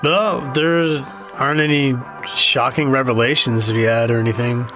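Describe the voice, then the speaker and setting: An actor playing a jaded, guarded, grieving younger brother in an audio drama, speaking haltingly and self-deprecatingly. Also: male voice, mid-range voice, fairly even intonation, over music, close to an everyday voice. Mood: guarded, reflective. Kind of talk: naturalistic audio drama dialogue.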